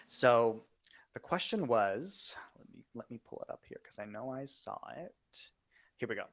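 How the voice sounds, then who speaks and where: Guy hosting a podcast, medium pitch, casual, kinda halting as he thinks out loud. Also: lively intonation. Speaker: podcast host. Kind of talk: casual interview chat.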